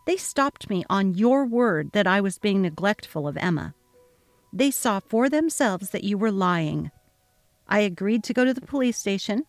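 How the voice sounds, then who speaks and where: Brief: woman narrator, mid-pitched, dramatic storybook reading over faint music. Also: no echo. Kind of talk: dramatic reading of text messages.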